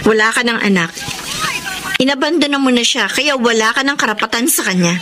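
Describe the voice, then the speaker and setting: A woman acting as a plucky young mother who once sold at market, with a fairly high voice and a Filipino accent. It is radio drama acting set against loud ambient noise. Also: a little fast, lightly acted. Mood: defiant, angry. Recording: loud ambient sound effects behind.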